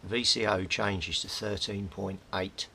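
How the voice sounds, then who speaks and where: A man's voice: steady tone, a little slow, creaky, bright, forceful tone. A middle-aged man narrates hands-on repair work informally, thinking aloud as he goes. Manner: thinks aloud while working. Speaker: hobbyist radio repairer and video maker. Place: indoor cluttered home workbench. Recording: rumbly background noise.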